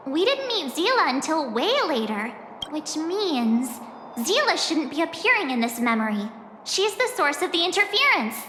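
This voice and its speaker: A woman's voice: high-pitched, normal speed, slight background noise, heavily acted. A young woman voicing a perky, chatty, self-deprecating adventurer girl in a video game. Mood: casual, thoughtful. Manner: chatty, expressive. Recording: echoey hall reverb, music behind.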